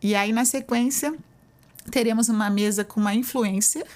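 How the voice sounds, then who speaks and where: A woman's voice: a little high, moderate pace, darkish tone. A woman professor with a Brazilian Portuguese accent, answering interview questions in an explanatory, conversational way, often adding "né". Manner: explanatory, uses many fillers like "né". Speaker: university professor, guest.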